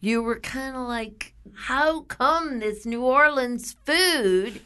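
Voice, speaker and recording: A woman's voice: high voice, takes it slowly, fairly bright tone, smooth. A woman hosting a radio interview in a conversational way, putting questions and remarks to her guest. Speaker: interview host.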